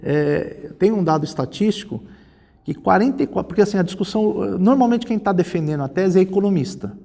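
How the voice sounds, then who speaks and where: A man's voice: high voice, brisk, creaky, dark tone. A man in his forties with a Brazilian accent, explaining things conversationally as an interview guest and often checking for agreement. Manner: explanatory, often seeks agreement with tag questions. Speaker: interview guest, social security lawyer. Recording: reverberant hall-like room.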